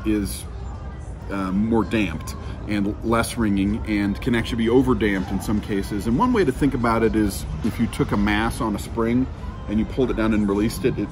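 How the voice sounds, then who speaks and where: A man in his thirties giving an informal technical explanation as an engineer being interviewed, halting as he thinks aloud. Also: lowish voice, steady tone, music in the background. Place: outdoors under a covered walkway.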